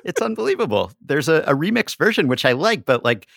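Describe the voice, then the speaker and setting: A man's voice: on the high side, big pitch swings, fairly quick, vocal fry, fairly bright tone, no echo, clean studio sound. A man talking casually as a guest in a podcast discussion of films and games. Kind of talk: casual film and game discussion.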